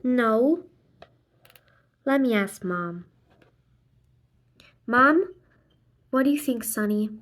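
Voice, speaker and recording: Lady doing a kids book read aloud, kinda high voice, slow, acts out the lines and sound effects. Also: expressive. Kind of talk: expressive read-aloud of a children's graphic novel, voicing dialogue and sound effects.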